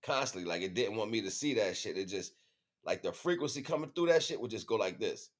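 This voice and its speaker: Male, American, low voice; loose, slangy streamer talking off the cuff, circling back on phrases. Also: lively intonation, fast. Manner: slangy, repetitive with frequent check-in phrases. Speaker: livestream host. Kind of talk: casual rambling monologue to viewers.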